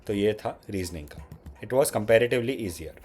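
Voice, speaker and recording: A lower-pitched man with a slightly sore throat talks through exam strategy in a relaxed, conversational way. He keeps switching between Hindi and English phrases in an Indian English accent. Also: slow, breathy edge. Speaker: exam prep YouTuber. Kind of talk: casual exam strategy talk.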